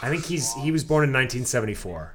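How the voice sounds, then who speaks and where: A man co-hosting a podcast in casual chat, complaining in a deadpan, grumpy way. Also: mid-range voice, fast, a crowd in the background. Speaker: podcast co-host. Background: music playing.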